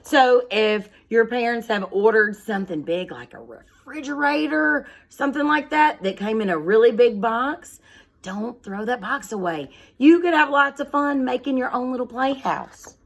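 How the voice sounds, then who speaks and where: A woman's voice: a little high, expressive, moderate pace, creaky. A woman speaking as a schoolteacher, reading aloud and explaining things in simple, instructive words addressed directly to young children.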